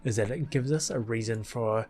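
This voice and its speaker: A man's voice: mid-range voice, moderate pace, darkish tone. A man giving rambling, casual commentary as a video creator, recorded as a close voice-over with music behind. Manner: rambling, chuckling asides. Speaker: video creator, film editor.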